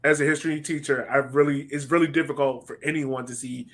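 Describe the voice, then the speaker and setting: A man's voice: mid-range voice, fairly even intonation, quick pace, a bit bright. A man hosting a casual sports podcast, speaking in an informal, conversational way. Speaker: podcast host.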